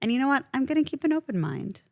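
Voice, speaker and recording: A woman's voice: high-pitched, big pitch swings, very fast, vocal fry, no echo, clean studio sound. A woman podcast co-host who grew up speaking Farsi, chatting casually and animatedly with her partner and laughing often. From Iran. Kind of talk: casual couple chat.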